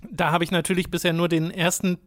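A man's voice: high voice, flat delivery, fast talker, fairly bright tone. A young man chatting casually as a podcast co-host, explaining at length with frequent hesitation fillers. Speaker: podcast co-host reviewing games. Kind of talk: casual chat.

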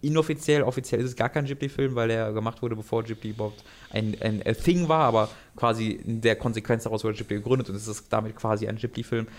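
A young man talking about anime and video games in a casual podcast chat, animated and rambling with many restarts. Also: fairly low pitch, fast talker, few pauses. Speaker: podcast co-host.

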